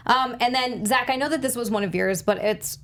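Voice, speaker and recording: A woman's voice: fairly high pitch, brisk, fairly bright tone. A woman chatting casually as a panelist on a movie news talk show. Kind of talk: casual chat.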